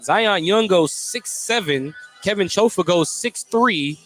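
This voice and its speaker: High-pitched male basketball play-by-play announcer. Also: animated, takes it slowly, a bit bright, soft background music. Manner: clipped running descriptions of the action. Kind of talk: live basketball play-by-play commentary. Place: at a basketball game in a gym.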